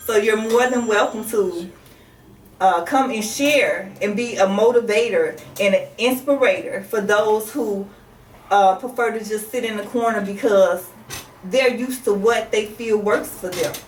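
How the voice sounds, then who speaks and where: A middle-aged American woman from Chicago hosting a radio show, talking casually and motivationally to listeners in a halting, deliberate way. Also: medium pitch. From Chicago, United States. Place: small radio studio. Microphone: studio microphone on desk. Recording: roomy, distant mic, faint background noise.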